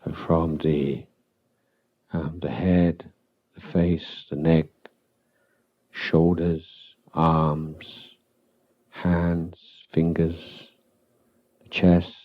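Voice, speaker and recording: Very deep-voiced man guiding meditation slowly. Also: phone-quality sound. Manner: calm, unhurried, halting with long pauses. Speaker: Buddhist monk, meditation teacher. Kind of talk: guided meditation instruction.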